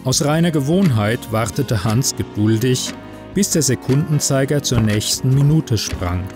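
A man's voice: medium pitch, lively intonation, measured pace. Man narrating a story over prominent music. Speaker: narrator of a short-story podcast. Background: music under the voice.

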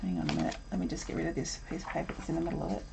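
A woman's voice: low-pitched, big pitch swings, racing, creaky, noisy background. A woman teaching a live drawing session from her desk at home, talking casually and rambling with lots of ums. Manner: chatty, rambling, self-interrupting with ums. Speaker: art instructor. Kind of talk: casual live draw-along chat.

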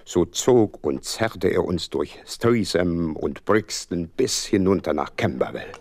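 Casting: loyal, earnest companion-narrator for radio drama, low husky voice, expressive swings in pitch. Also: male voice, slow, dry recording, exaggerated voice. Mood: vivid narrating. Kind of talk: radio play narration and acting.

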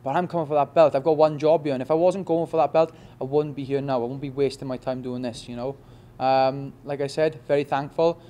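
Fast-talking Welsh man, mellow voice. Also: medium pitch, fairly even intonation. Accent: Welsh, Swansea. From Wales. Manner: rapid, repetitive, many restarts and "you know what I mean". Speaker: fighter. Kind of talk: post-fight interview. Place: press backdrop indoors. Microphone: reporters' handheld mics in front.

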